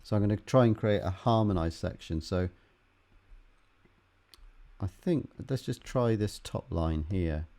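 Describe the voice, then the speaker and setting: A man teaching music production in an unscripted tutorial, haltingly thinking aloud as he works through each step. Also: lowish voice, lively intonation. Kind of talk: unscripted software tutorial, explaining while demonstrating.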